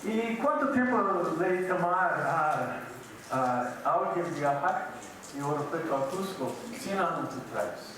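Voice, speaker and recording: Slow, halting older man in an echoey hall. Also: fairly high pitch, animated, crowd noise. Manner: slow, halting, mixes in Portuguese words. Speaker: keynote speaker. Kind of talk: conference lecture. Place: large university auditorium. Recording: echoey hall from far off with audience.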